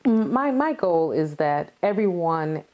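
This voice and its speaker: A woman's voice: on the low side, very animated, unhurried, muffled recording. A woman giving earnest, encouraging conversational answers as an interview guest, repeating phrases for emphasis. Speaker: playwright and activist guest.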